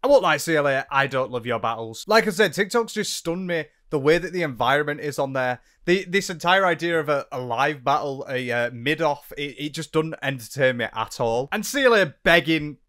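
A man's voice: fairly high pitch, lively intonation, brisk, few pauses, a bit bright. A young man in his twenties giving sarcastic, exasperated reaction commentary into a close handheld mic in a small room. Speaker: commentary YouTuber. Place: small room indoors, gaming chair. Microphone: handheld dynamic mic near face.

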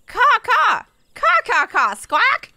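Woman doing a squawky, excitable birdlike beastman. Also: very high-pitched, takes it slowly, gravelly, no echo, pitched above the performer's own voice, full character voice. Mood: agitated. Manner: squawking, inverted word order, emphatic. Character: excitable squawking birdlike beastman mentor's disciple, inverted speech.

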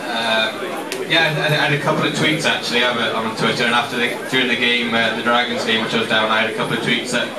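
A man's voice: mid-range voice, flat delivery, fast talker. A young man, a rugby player, answering interview questions with lots of fillers. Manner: hesitant, many fillers. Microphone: handheld mic, far camera. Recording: roomy bar, distant camera, loud crowd.

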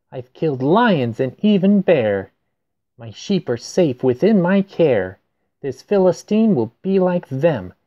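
A man's voice: mid-range voice, muffled recording. A man voicing a brave, earnest young shepherd boy who declaims boldly in rhyme, for a storybook.

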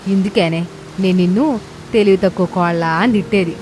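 A woman's voice: fairly low pitch, brisk, a little mellow, slight background noise, close to an everyday voice. A woman playing a bossy, teasing older village mother-in-law in a cartoon moral story, scolding in rustic colloquial speech.

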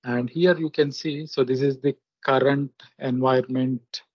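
A man walks through a software demo at an unhurried, halting pace. His voice is somewhat husky, and he gives short instructions one step at a time. The recording is dry and a little dull, like a screen capture. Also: medium pitch, steady tone, no echo. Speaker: software tutorial presenter. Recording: muffled lo-fi screen-recording audio.

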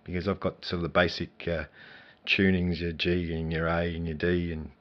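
A man's voice: low-pitched, flat delivery, normal speed. A man hosting a radio interview, asking casual, hesitant questions with many fillers. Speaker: radio host. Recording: dull lo-fi radio audio.

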